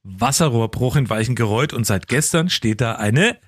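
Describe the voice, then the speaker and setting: A man's voice: medium pitch, animated, moderate pace, dry recording, clean studio sound. A man co-hosting a casual podcast, emphatic and wry, repeating words to make his point. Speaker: podcast and radio co-host. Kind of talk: casual banter.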